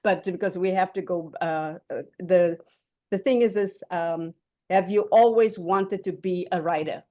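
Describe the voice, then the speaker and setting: A woman hosting a panel in an informal way, often halting as she searches for words. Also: fairly low pitch, mellow. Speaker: panel moderator.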